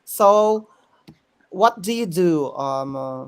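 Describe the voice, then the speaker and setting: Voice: mid-range voice, animated, drawn-out words, clean tone. A host, running a live chat interview, switching between English and Tagalog with many fillers.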